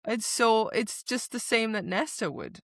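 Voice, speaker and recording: A woman's voice: on the high side, animated, measured pace, fairly bright tone, no room sound. Woman podcaster in a very dry room. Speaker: podcast co-host. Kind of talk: casual book discussion.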